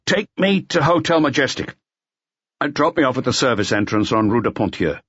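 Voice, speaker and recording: A man's voice: medium pitch, big pitch swings, fairly quick, a bit bright, breathy edge, no room sound, highs cut off, voice pushed up. A man voicing a wounded, curt police inspector who gives orders in a mystery audiobook. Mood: curt, urgent. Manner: curt, commanding. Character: wounded, gruff, commanding male police inspector.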